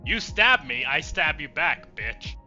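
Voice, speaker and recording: A man's voice: on the high side, sing-song, slow pace, pushed and bright, dull audio, faint music behind. A man giving casual, reactive game commentary as a streamer, blunt and exclamatory with plenty of swearing. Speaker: let's play streamer.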